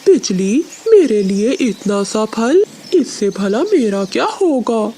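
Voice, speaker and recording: A woman puts on a bullying, greedy male crow for a cartoon. The voice is fairly high yet dark in tone, grumbling and domineering, with faint noise behind. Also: sing-song, takes it slowly.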